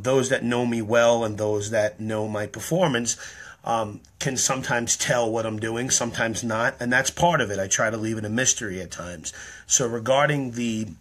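A man in his thirties talking to his camera indoors, tired and slightly hoarse, explaining his act in an earnest, rambling way full of ums. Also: fairly low pitch, a bit bright. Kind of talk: casual talk to camera. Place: small room indoors. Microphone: webcam or phone at close range.

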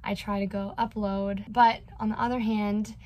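A woman in her twenties, a cruise ship performer, chatting casually to a phone camera held at arm's length in a small cabin, bubbly with quick asides. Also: medium pitch, flat delivery, warm tone. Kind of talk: casual vlog chat to camera.

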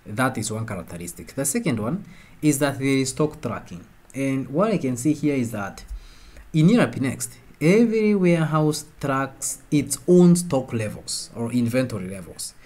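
A man presents an informal software lesson in a medium-pitched voice. He explains step by step in a conversational way, hesitating and restating things as he goes. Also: very animated, normal speed. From Kenya.

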